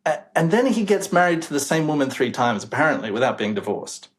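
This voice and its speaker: A man's voice: fairly high pitch, sing-song, a little fast. Emphatic young man explaining. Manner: emphatic, incredulous, chuckles while making points. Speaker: demographer guest. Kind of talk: casual interview talk.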